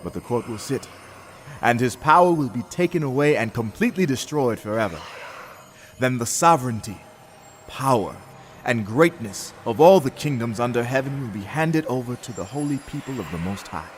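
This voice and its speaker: A solemn heavenly interpreter acted by a man declares prophecy in a scripture audio drama. The voice is mid-pitched and grave, with faint ambient noise behind. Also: expressive, moderate pace, natural voice. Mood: solemn, declarative.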